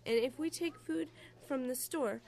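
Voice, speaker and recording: A woman's voice: high-pitched, measured pace, creaky, a little mellow. A woman playing an earnest, easily confused young female store clerk in an audio drama. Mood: confessing.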